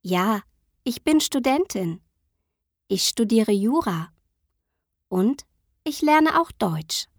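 A woman announcing listening exercises and reading dialogue lines with clear, measured delivery. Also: fairly high pitch, very animated, dry recording, clean studio sound. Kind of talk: slow textbook reading.

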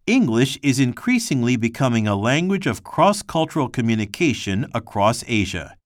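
A man giving a measured, expressive scripted reading of a textbook passage. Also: medium pitch, no echo, studio quality. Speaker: textbook audio reader.

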